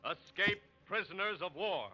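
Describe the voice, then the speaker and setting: A man's voice: sits high, animated, measured pace, exaggerated voice. A man reading as a solemn, formal 1940s radio announcer on an old broadcast recording. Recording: muffled old radio broadcast, loud music.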